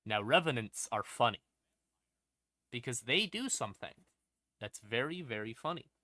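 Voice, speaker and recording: A man with a bright, forceful voice and a mid-range pitch, recorded close and dry. He explains lore as a livestream host in an informal, wry way, breaking his sentences into short phrases with pauses and punchy stressed words. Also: expressive, no echo. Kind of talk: casual lore explainer to a live audience.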